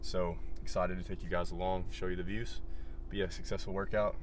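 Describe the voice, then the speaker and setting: A young man with a deep, low voice talks casually into his phone camera, loose and chatty, dropping "like" and "you know" often. He is recorded in a car with faint road and engine noise underneath. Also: level tone, brisk. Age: young adult. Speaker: fitness vlogger. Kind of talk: casual vlog talk to camera. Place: inside a car, then a home kitchen. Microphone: phone camera held or mounted nearby.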